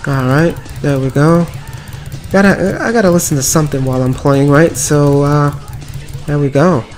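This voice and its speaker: A man with a slightly raspy voice from a cold, giving upbeat, rambling commentary over mobile card game play. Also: fairly high pitch, moderate pace, darkish tone. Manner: upbeat, filler-heavy running commentary. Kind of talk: casual gameplay commentary. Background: background music.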